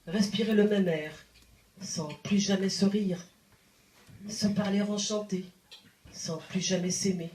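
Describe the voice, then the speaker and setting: A woman reciting poetry in a deliberate, dramatic manner with expressive phrasing and pauses. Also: medium pitch. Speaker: poet reciting. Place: indoor live poetry evening venue. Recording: roomy live recital sound. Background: plucked guitar music.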